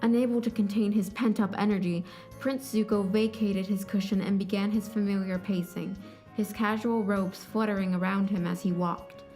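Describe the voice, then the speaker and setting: A woman's voice: mid-range voice, steady tone, normal speed, mellow, faint music behind. A woman reading scripted story narration as the narrator of an audio drama.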